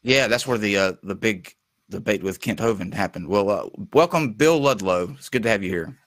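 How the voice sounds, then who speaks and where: Low-voiced male host losing his voice. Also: lively intonation, a bit bright, no room sound. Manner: halting, many fillers and restarts. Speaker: show host. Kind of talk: casual live-stream talk show.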